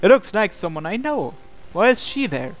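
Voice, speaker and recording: A man's voice: high-pitched, very animated, normal speed. A man hosting a game playthrough, reading visual novel narration aloud expressively with casual asides.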